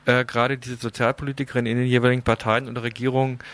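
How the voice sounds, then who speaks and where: A man's voice: medium pitch, flat delivery, very fast, a bit bright. A man hosting an informal live radio interview, with a hesitant, wordy way of putting things.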